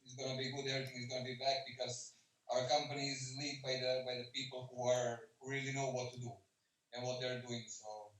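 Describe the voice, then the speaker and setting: A man with a Serbian accent speaking haltingly as a participant on a group call, repeating his phrases. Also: mid-range voice, little pitch movement, fairly bright tone. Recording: echoey, distant online call audio.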